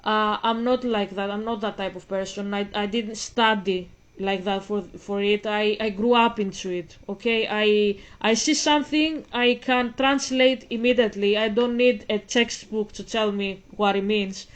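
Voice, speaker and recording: A woman's voice: mid-range voice, steady tone, moderate pace, hardly any pauses. A woman of twenty-five from Greece, speaking English in rambling, self-interrupting casual commentary aimed straight at her viewers. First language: Greek. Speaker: vlogger.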